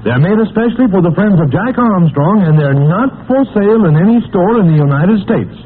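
A man announcing and narrating a 1940s radio adventure serial in an enthusiastic, emphatic pitchman style, heard on a tinny, noisy old radio recording. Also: expressive, fairly quick.

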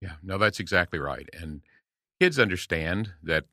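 A man's voice: low voice, expressive, moderate pace, bright, forceful tone, no echo, clean studio sound. An American man in his sixties talking conversationally as an interview guest, explaining things in a folksy way. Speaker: podcast guest, veteran homeschool dad and speaker.

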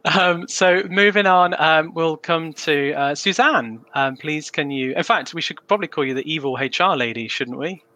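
A man's voice: on the high side, moderate pace, few pauses, bright, forceful tone. A man hosting a live panel show, talking in a friendly, chatty way with frequent ums. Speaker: host.

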